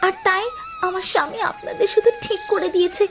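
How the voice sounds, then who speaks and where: Very high-pitched anxious mother mouse voiced by a woman. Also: brisk, a little hiss or hum. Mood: pleading. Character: anxious, kindly mother mouse, scolding and apologetic. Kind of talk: Bengali moral story cartoon acting.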